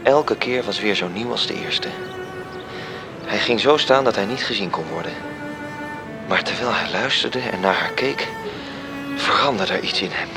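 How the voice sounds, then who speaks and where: An actor playing a confident, knowing celestial storyteller, narrating a radio drama in literary style. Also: male voice, lowish voice, expressive, normal speed, long pauses, music in the background. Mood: narrating, absorbed.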